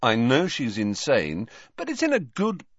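Man playing an animated, earnest teenage schoolboy. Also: mid-range voice, a little slow, slightly rough, no echo, lo-fi sound, pitched above the performer's own voice, exaggerated voice. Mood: amused. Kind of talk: audiobook character voice.

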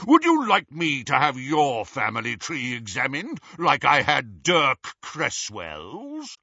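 A big male wizard official from an audiobook, voiced by a male reader. He is forceful and imposing, with a powerful, husky boom. He blusters emphatically, as if used to being obeyed. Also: very animated, slow pace, no echo, muffled recording, full character voice. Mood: blustering menace. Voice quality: deep, booming, powerful. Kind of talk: acted audiobook dialogue.

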